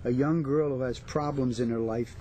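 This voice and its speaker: A man's voice: mid-range voice, steady tone, moderate pace, mellow, a little hiss or hum, poor audio. A man, an actor chatting casually as an interview guest. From New York/New Jersey area.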